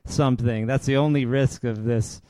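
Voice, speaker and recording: A man hosting a podcast, reading a scripted introduction and then chatting casually with a guest in a friendly, lively way. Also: medium pitch, normal speed, dry recording, rough recording. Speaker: podcast host.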